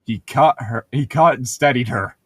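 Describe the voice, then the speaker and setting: A man's voice: mid-range voice, normal speed, slightly rough, dry recording. A man co-hosting a podcast, reading aloud and bantering casually in a playful, sarcastic, sweary way. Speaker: podcast co-host.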